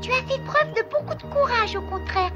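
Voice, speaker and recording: A woman voicing a childlike, caring little female forest animal in a French cartoon, earnest and expressive. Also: very high-pitched, brisk, muffled recording, loud music behind the voice. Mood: encouraging.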